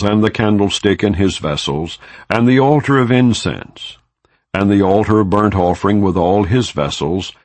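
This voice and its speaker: Low-pitched man solemnly reading scripture. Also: no echo. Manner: solemn, measured, formal delivery. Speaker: audiobook narrator. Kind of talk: scripture reading, non-dramatized audiobook narration.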